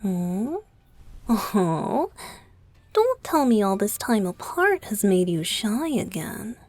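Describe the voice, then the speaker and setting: Girl doing a breathy young boyfriend voice for asmr, super slow, teasing and sweet, close dry mic. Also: medium pitch, sing-song, faint music behind, full character voice. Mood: playful, teasing. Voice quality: soft, breathy, often dropping to a whisper. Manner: teasing, coaxing, giggly, slow with pauses. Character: young male pop idol boyfriend, playful, teasing, affectionate. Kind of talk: intimate ASMR roleplay. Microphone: close studio microphone, dry.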